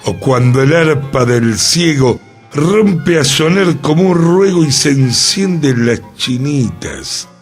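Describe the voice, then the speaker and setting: A man doing a slow-reciting 1920s porteño drinker. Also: on the high side, animated, faint music behind, voice pushed up. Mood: declaiming. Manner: declaimed rhyming verse, slow and emphatic. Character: 1920s Buenos Aires man drinking at a gathering, answering in verse.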